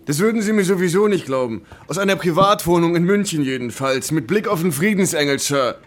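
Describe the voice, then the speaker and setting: An actor in a radio drama playing a wry young American army war reporter who talks a little hesitantly. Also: male voice, fairly high pitch, lively intonation, brisk, lightly acted. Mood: wry, deferential.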